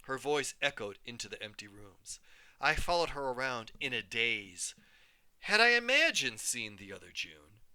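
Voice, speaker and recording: Animated man reading a story aloud. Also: mid-range voice, normal speed, smooth, dry recording. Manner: animated, voices each character. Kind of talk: expressive read-aloud storytelling.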